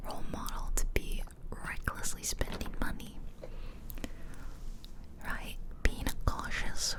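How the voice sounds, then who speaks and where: Young woman whispering asmr style right up on the mic, just chatting casually, super soft and breathy. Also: poor audio. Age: 20s. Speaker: ASMR video host. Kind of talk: ASMR, casual rambling chat. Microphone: close ear-to-ear ASMR microphone.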